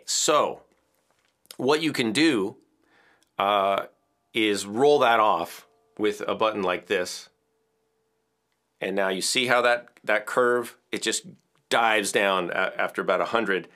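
Bass baritone guy, kinda low voice but bright, chill teacher vibe explaining stuff in his studio. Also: takes it slowly, pauses often. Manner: relaxed, chatty explaining with gestures and small laughs. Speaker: music educator. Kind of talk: hands-on audio tutorial. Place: home music studio, indoors. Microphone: headphones on, studio mic off camera.

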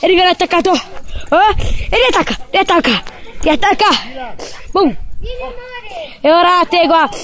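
A woman giving excited, loud mock sports commentary, repeating phrases over and over. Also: extremely high pitch, unhurried, pauses often. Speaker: amateur commentator filming dogs at play.

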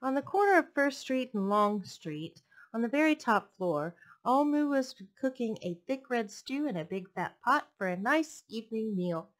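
A young woman hosting a storytime, reading picture books expressively with deliberate phrasing for young listeners. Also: fairly high pitch, expressive. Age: young adult. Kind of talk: expressive children's story read-aloud. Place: indoors, furnished home room. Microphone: headset microphone.

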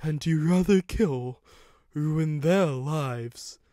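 A man voicing a wounded ghostly steam engine, wheezy and halting, pleading in a dark storybook tale. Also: a little high, no echo, clean studio sound, pitched above the performer's own voice. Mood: pained, pleading. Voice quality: wheezy, gasping.

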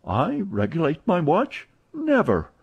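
A man voicing an excitable, chatty young French manservant who talks eagerly and protests often, in a dull lo-fi recording. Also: a little high, gravelly, husky, voice pushed up, exaggerated voice. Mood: excited. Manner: eager, exclamatory, quick to protest.

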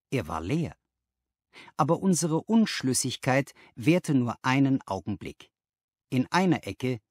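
A man's voice: mid-range voice, no room sound, studio quality. A man reading a story aloud as scripted literary narration. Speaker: audiobook narrator.

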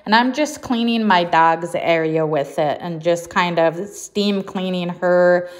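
A woman's voice: on the low side, measured pace. A woman giving a casual, chatty voice-over as a home cleaning vlogger, talking informally.

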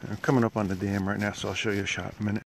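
A man's voice: lowish voice, level tone, very fast. Middle-aged man vlogging over outdoor noise. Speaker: hiker vlogger. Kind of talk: casual trail vlog narration. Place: outdoors on a wooded trail and dam. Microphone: handheld phone at arm's length. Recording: clear outdoor background noise.

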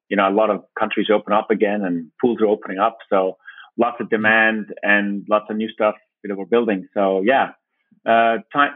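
A man from Denmark, a company founder, answering interview questions in a thoughtful, halting way with frequent restarts. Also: fairly low pitch, no room sound. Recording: dry, tinny phone-like line.

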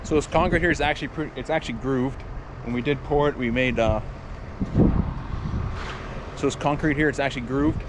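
A young man talks in a plain, matter-of-fact way as he walks through his farm chores, his voice neither high nor low. He's recorded outdoors on an action camera, and clear background noise from the yard and machinery sits under his words. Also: brisk, pauses often. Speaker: dairy farmer vlogger. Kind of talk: casual narration while doing chores. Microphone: action camera, sometimes held at arm's length.

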